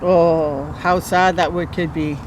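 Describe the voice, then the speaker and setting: A woman reading a children's picture book aloud as a storytime host, expressive and chatting to young listeners. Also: fairly low pitch, slow pace, a little hiss or hum. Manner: expressive, interjects comments and questions to young listeners.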